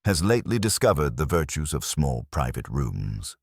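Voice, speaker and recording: A man voicing a mild, reserved police inspector who is measured and drily observant, in a mystery story. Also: very deep voice, very animated, husky, no echo, studio quality, full character voice. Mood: mild, questioning.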